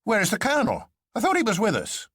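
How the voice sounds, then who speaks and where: Here is a man playing a gallant, defiant swordsman from an adventure story. He declaims with frenzied fervour in a raised voice that swings widely in pitch, recorded dry and close. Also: huge pitch swings, fast talker, a bit bright, breathy edge, no room sound, full character voice. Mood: alarmed. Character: impassioned defiant gentleman swordsman, male, crying out. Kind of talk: dramatised novel reading.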